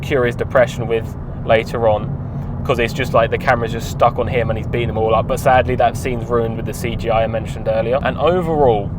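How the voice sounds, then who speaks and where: Rambling guy reviewing in a car. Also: medium pitch. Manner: rambling, offhand, wry asides. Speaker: film reviewer. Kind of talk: casual spoken review. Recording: road and traffic noise. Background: clear car and street noise.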